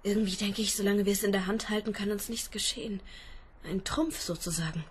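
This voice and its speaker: A woman's voice: medium pitch, fairly quick, a little hiss or hum, natural voice. An actress playing a worried, caring young woman in a radio drama, speaking with tender concern.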